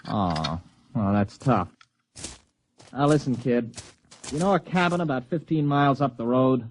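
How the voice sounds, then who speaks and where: Radio play role, male actor: irritable American academic type, educated, testy, very lively intonation, noisy recording. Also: mid-range voice, a little slow, close to an everyday voice. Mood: grudging concern. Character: exasperated, irritable male university graduate student. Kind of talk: audio drama acting.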